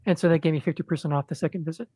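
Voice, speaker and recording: A man chatting as a guest on a podcast, in a monotone, rambling way with lots of ums and restarts. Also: a little high, rapid-fire, breathy edge, dry recording. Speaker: podcast guest, indie comic creator. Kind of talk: casual podcast chat.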